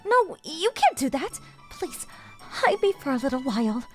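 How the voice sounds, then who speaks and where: A woman's voice: sits high, big pitch swings, moderate pace. A woman voicing a sweet, polite young female idol with an earnest, coaxing way of talking, in a manga dub. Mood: pleading. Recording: music under the voice.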